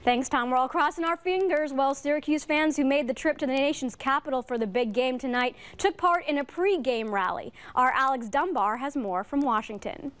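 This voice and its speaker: A woman's voice: high voice, moderate pace, few pauses, a bit bright, a little hiss or hum. A woman news anchor with an American accent reading scripted broadcast news.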